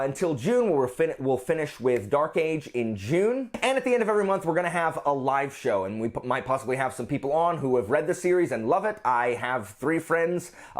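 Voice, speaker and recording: A man hosting a book channel, talking casually and enthusiastically to his viewers with jokey asides. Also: a little high.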